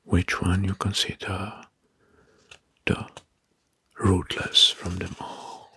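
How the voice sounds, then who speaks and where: A man narrating history in an ASMR style, in a breathy, husky whisper, slowly and deliberately in short phrases. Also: deep voice, completely flat delivery, sharp tone, dry recording. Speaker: ASMR video host. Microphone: close microphone.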